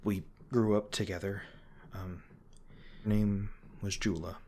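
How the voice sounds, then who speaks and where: A man playing a haunted monster hunter in an intimate audio roleplay, guarded but remorseful, confessing his painful past in a halting, hesitant way. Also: lowish voice, little pitch movement, a little mellow, a little hiss or hum, close to an everyday voice. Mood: melancholy, reminiscing.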